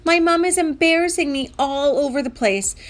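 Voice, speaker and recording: A woman's voice: sits high, moderate pace, voice pushed up, close to an everyday voice. A woman voicing an exasperated, embarrassed young boy in a children's story, whiny and dramatic.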